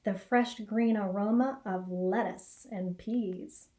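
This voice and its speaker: An American woman in her forties reading a children's picture book aloud with expressive storytelling. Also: mid-range voice, slow pace. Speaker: elementary school educator reading aloud. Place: small indoor classroom or office.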